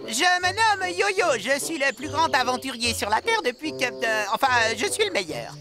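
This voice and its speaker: An actor voicing a small, boastful, excitable cartoon adventurer creature with a brash, exclamatory manner. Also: sits high, normal speed, over music.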